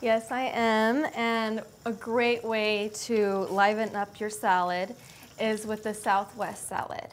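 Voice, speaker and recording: A woman's voice: medium pitch, little pitch movement, slow pace, slight background noise. A young woman, a dietetics student, plainly explaining each step of a salad recipe in a studio kitchen. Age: young adult. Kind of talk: cooking demonstration.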